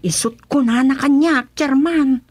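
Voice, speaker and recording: A woman's voice: high-pitched, unhurried, a little mellow, no room sound, soft background music. A woman with an Ilocano Filipino accent playing an inquisitive, gossiping village councilwoman in a radio comedy-drama. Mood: tattling.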